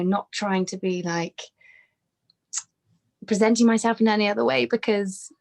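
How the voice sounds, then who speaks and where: A woman in her thirties, a podcast guest on a webcam video call from her bedroom, talking casually with many restarts and fillers. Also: mid-range voice. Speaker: podcast guest, fitness trainer. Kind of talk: casual conversational interview. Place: bedroom with brick wall.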